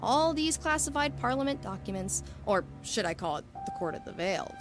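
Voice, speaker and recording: A woman's voice: on the high side, big pitch swings, brisk, music in the background. A woman playing a confident, scheming young student and true-crime podcaster in an audio drama, polite but pointed. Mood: smug, threatening. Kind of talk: scripted audio drama acting.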